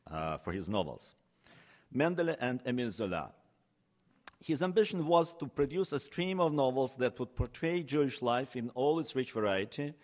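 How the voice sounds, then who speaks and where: Male academic, medium pitch, halting delivery, frequent hesitations, scholarly conference lecture. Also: a little mellow. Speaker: professor giving a conference lecture. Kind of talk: academic lecture, partly read from notes.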